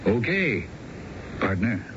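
An American man playing a cocky, smooth-talking small-time hustler in a radio crime drama, slangy and coaxing. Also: fairly low pitch, very animated, slow pace, music in the background. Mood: businesslike, confident. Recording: dull, noisy old radio broadcast recording.

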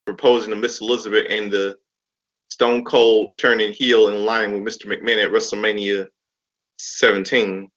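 A man in his early thirties chatting casually as a panelist, halting and stumbling and often repeating himself. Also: lowish voice, little pitch movement, fairly bright tone. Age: 30s. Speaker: show panelist. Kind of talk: casual chat. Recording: dull lo-fi call audio.

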